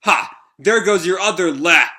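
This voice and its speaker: A man's voice: high-pitched, measured pace, bright, forceful tone, pitched above the performer's own voice, heavily acted. A man voicing a cruel, short-tempered gang boss from a comic, threatening and bullying.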